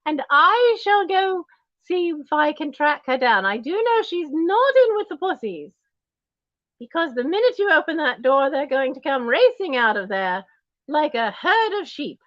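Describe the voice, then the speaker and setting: Posh British grande dame in diamonds, played by a woman; slow, high, swooping and snooty, calls everyone darling. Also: sing-song, dry recording, lo-fi sound. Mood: haughty. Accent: put-on posh British. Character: snobbish posh lady in wig and diamonds. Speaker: auction host. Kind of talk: in-character livestream auction.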